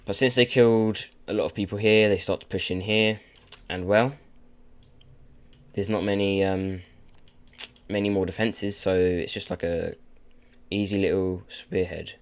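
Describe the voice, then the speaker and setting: A man's voice: low-pitched, flat delivery, unhurried, long pauses. A man with a sore throat, casually narrating a map video with rambling, filler-heavy commentary. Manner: rambling, filler-heavy, loses his train of thought.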